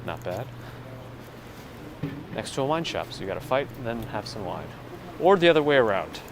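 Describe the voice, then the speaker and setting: A man's voice: fairly low pitch, normal speed. New man streaming on a street. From New York. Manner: relaxed, chats with viewers while walking. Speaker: walking tour live streamer. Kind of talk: casual live-stream narration. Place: outdoors on a city street in winter. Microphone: gimbal-mounted phone mic. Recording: phone mic with clear street noise. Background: street and traffic noise.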